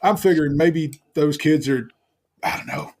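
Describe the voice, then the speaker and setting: A man with a New Zealand accent playing a sardonic water genasi monk in a tabletop game, wry, wordy and halting. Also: on the high side, darkish tone, close to an everyday voice. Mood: suspicious.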